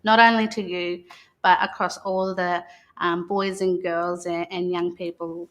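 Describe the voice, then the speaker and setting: A woman with an Australian accent speaking as a guest on a children's live stream, explaining things in a measured, halting, courteous way. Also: lowish voice, flat delivery, a bit bright.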